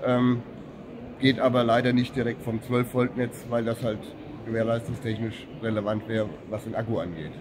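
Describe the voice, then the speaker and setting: Calm middle-aged male service technician. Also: medium pitch, normal speed. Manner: calm, technical, matter-of-fact explaining. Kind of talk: trade fair interview, explaining products. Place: busy exhibition hall booth. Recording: trade hall with clear crowd noise behind.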